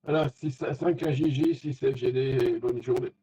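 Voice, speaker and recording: A man, an amateur radio operator, chatting casually in a halting, repetitive way. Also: medium pitch, steady tone, warm tone, slightly rough. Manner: halting, repeats words. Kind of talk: casual ham radio chat. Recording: dull lo-fi radio link.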